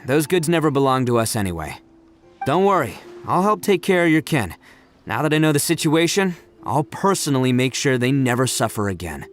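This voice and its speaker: A man's voice: medium pitch, big pitch swings, a little fast, close to an everyday voice. A man voicing a brash, big-hearted young oni gang leader in a video game, in a boisterous, slangy, easygoing manner. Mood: reassuring. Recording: music under the voice.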